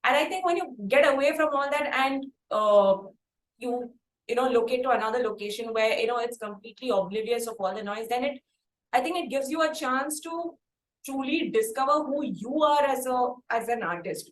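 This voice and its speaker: A woman hosting a conversational interview, asking halting, wordy questions. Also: high-pitched. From India. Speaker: interview host. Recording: echoey room with light background noise.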